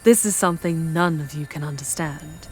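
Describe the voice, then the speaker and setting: A woman playing a charming, proud yet tender female demon guide in an ASMR fantasy roleplay, measured and intimate, often dropping to breathy whispers. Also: low voice, soft background music, natural voice. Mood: defiant, teasing.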